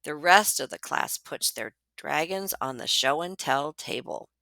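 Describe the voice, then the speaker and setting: A woman reading a children's picture book aloud as a librarian would for students, with deliberate, dramatic emphasis on words. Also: low-pitched, vocal fry, bright, forceful tone, no room sound.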